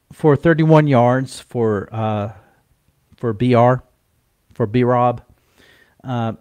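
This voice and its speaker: A man with a stuffy, congested voice from a cold, co-hosting a casual sports chat in a slow, halting way with many fillers and restarts. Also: mid-range voice, a little mellow, clean tone, no echo. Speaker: podcast co-host.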